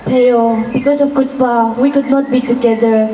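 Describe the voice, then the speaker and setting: A woman's voice: on the high side, steady tone, moderate pace, dark tone, recorded from a distance, lightly acted. A woman playing a devoted, lovesick young village daughter in an amateur folk tale drama, earnest and pleading. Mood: sorrowful. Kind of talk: amateur stage-style acting of a folk tale. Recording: echoey room, off-mic, faint noise.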